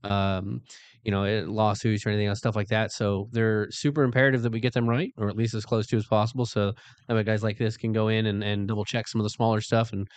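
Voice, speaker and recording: A man hosting a casual workplace talk show, chatting quickly with lots of fillers. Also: lowish voice, little pitch movement, dry recording. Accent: Midwestern American. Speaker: show host, security lieutenant.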